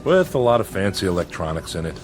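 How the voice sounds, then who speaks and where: An actor playing a weary, plainspoken factory supervisor in a radio drama. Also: male voice, deep voice, sing-song, fast talker, faint music behind. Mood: grim, bitter. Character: weary, bitter factory supervisor, gentle with people.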